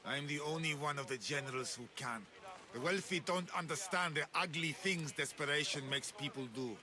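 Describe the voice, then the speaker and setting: An actor playing a ruthless, proud Spartan general in a video game, speaking in a boastful, curt, imperious way. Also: male voice, a little high, moderate pace, crowd noise, natural voice. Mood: grim, curt. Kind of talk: scripted video game acting.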